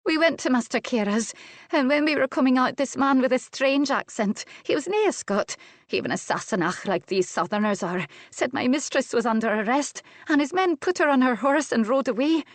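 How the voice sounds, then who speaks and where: Young Scottish servant girl played by a female reader, high, urgent and tearful, storybook drama, close dry sound. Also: animated, normal speed, dry recording. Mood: breathless, urgent. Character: frantic young Scottish maidservant, loyal and tearful. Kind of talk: audiobook character acting.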